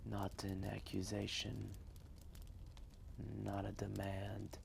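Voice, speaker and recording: An ancient male dragon, played by a man, speaks in a deep, heavily gravelled voice. He is a humbled, reflective storyteller who lingers over short phrases with long pauses. Faint noise sits behind this fireside roleplay. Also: monotone, darkish tone, exaggerated voice. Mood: solemn, tender. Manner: slow, deliberate, pausing, solemn reminiscing. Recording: faint background noise, fireplace ambience.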